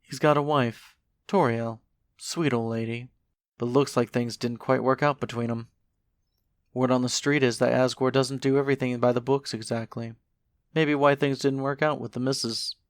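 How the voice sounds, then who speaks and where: A man playing a lazy, laid-back skeleton who makes puns in a fan audio drama, talking in a casual, unhurried, slangy way. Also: medium pitch, steady tone, no room sound, close to an everyday voice. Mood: laid-back, amiable.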